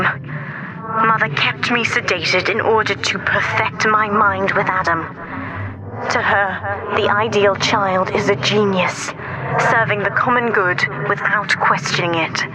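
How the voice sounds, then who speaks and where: An actress playing a devoted, resolute young daughter, gentle and sheltered, speaking softly and deliberately in a dramatic video game. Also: female voice, medium pitch, steady tone, heavy background noise, natural voice. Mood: sorrowful, earnest.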